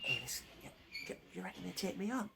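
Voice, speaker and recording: An actor with a Northern English accent playing an injured, self-mocking miner, cheeky and anxious, in a naturalistic radio play. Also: male voice, high voice, sing-song, quick pace, vocal fry, background noise, heavily acted. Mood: cheeky, curious.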